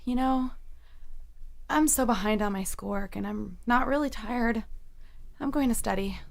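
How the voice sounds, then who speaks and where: An actress playing a troubled, guarded young woman in an audio drama, hushed and hesitant. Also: on the high side, measured pace, vocal fry. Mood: weary, defensive.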